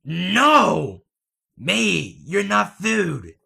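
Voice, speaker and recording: A man plays a young anime wolf, anguished and devoted to his friend. The voice is rather high and bright, with heavy gravel in it. The delivery is halting and emotional, in fan dub style. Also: sing-song, heavily acted.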